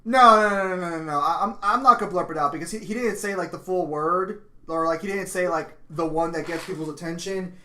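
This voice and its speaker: A man's voice: high-pitched, a little fast, hardly any pauses, pushed and bright. A man hosting a casual stream chat, rambling and stammering, often laughing.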